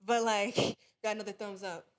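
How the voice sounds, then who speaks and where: A young woman talks in a loose, halting way as a podcast guest, restarting her sentences and using casual slang. Her voice sits at a middling pitch and sounds close and dry, with little room around it. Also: fairly even intonation, no room sound. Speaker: guest musician.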